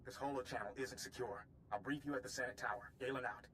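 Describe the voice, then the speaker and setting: A brisk male security commander, as an actor plays him in a video game, issuing urgent, businesslike orders at a mid-range pitch. A faint hiss sits under the voice. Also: steady tone, a bit bright, close to an everyday voice, poor audio.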